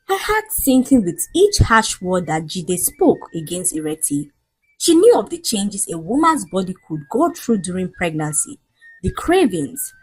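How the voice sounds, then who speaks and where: A woman storyteller reads a scripted folktale with a very lively, swooping intonation. Her voice sits at a medium pitch and has a husky edge. The delivery is dramatic narration. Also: low-quality recording.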